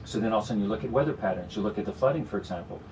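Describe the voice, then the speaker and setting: A man's voice: fairly low pitch, racing, a little mellow, far from the mic, slight background noise, low-quality recording. A man with a Canadian accent, a guest strategist explaining investments in a radio studio conversation, with many "you know"s. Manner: explanatory, talks in long runs with frequent "you know".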